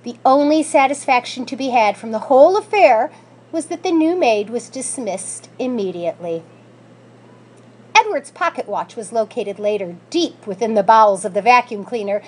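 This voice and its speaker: Woman, storybook narration, high voice, very animated intonation, read-aloud. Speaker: school staff member reading aloud.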